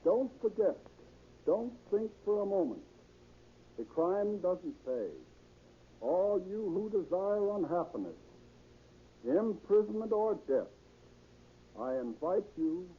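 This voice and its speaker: An American man, a police chief, giving a formal, emphatic, moralizing radio address in 1930s style, with dramatic pauses. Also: high voice, big pitch swings. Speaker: police chief, guest speaker. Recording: muffled, noisy 1930s radio recording.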